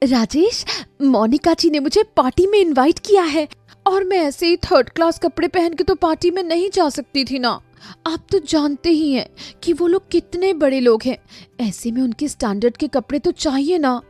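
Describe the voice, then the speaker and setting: A woman's voice: sits high, normal speed, a little mellow, no room sound, soft background music, voice pushed up, close to an everyday voice. A woman voicing a vain young housewife who craves luxury, whiny and dramatic, in a storybook tale. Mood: sulky. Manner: petulant, dramatic.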